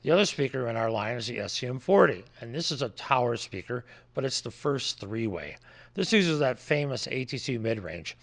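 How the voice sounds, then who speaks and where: American guy doing a casual product rundown, lots of vocal fry, explains stuff plainly, normal mid pitch. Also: lively intonation, normal speed, fairly bright tone. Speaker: audio distributor presenting products. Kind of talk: informal product presentation.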